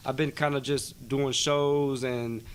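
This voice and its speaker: A man's voice: medium pitch, little pitch movement, slow pace. Laid-back slangy young man rapper. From Silver Spring, Maryland, USA. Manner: laid-back slangy talk, many fillers and "you know what I'm saying". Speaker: guest rapper. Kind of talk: casual interview chat.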